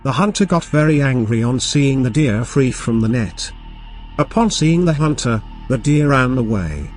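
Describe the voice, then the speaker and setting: Guy reading a kids fable, medium pitch, faint music under it. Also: animated, normal speed, darkish tone. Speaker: storyteller narrator. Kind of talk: scripted children's story narration.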